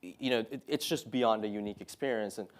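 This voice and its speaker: A man in his thirties speaks to a live audience in a lecture room in a slightly low voice. He is earnest and measured, and he fills his pauses with frequent "uh" and "um". It sounds like a guest talk, not a script. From Saudi Arabia. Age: 30s. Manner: earnest, measured, many "uh" and "um" fillers. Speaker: guest speaker, Marine officer.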